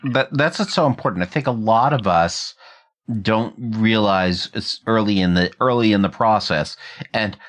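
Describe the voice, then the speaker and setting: Guy hosting a podcast interview, lowish voice, kinda reflective, explains stuff as he chats. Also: normal speed. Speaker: podcast host interviewing a guest. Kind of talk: conversational interview.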